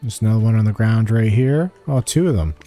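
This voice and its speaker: Man, mellow relaxed tone, casual gameplay walkthrough, short thinking-aloud remarks, music behind. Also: fairly low pitch, brisk. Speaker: gamer giving a quest walkthrough.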